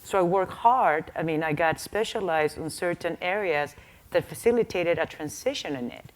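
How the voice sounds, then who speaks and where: A woman's voice: on the low side, normal speed. A woman on a conference panel, a tech manager who came as an international student, giving direct, encouraging advice. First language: not English. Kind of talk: panel discussion.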